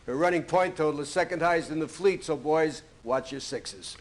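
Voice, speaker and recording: An actor playing a stern, gruff Navy commander who briefs pilots in a clipped, authoritative way in a video game. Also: male voice, a little high, moderate pace, lightly acted. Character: stern, gruff male Navy commander and flight school instructor. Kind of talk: acted video game cutscene dialogue.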